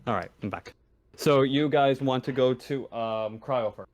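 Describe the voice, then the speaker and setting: A man's voice: medium pitch, unhurried, a little hiss or hum. A man acting as game master, narrating a tabletop roleplaying game in an informal, slightly unsure, self-correcting way.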